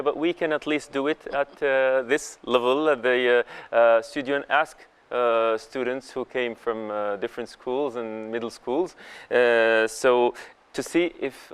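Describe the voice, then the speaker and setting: Halting male host with a clear voice. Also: mid-range voice. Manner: hesitant, halting phrasing. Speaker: host of a panel show. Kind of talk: moderating a studio discussion. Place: TV studio.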